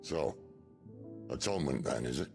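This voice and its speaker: An actor playing a wry, roguish rebel leader in a fantasy game, with a gravelly voice and a sardonic drawl. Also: male voice, animated, normal speed, a little mellow. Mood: wry, scheming. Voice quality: deep, gravelly. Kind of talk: cinematic fantasy game dialogue. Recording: music behind the voice.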